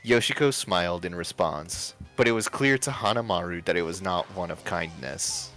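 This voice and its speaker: A man's voice: low-pitched, moderate pace, a bit bright. A man reading a story aloud as a livestream narrator, expressively and with casual asides. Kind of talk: expressive fan fiction read-aloud with casual banter. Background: music.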